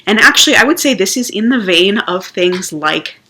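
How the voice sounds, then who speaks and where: A woman's voice: medium pitch, lively intonation, normal speed, pushed and bright. A woman giving a casual, chatty vlog review of the books she has read, thinking aloud as she goes. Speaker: book reviewer.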